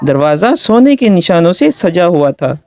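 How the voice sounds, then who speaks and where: A man's voice: high voice, very animated, unhurried, a little mellow, dry recording, clean studio sound. A man narrating a scripted story for children.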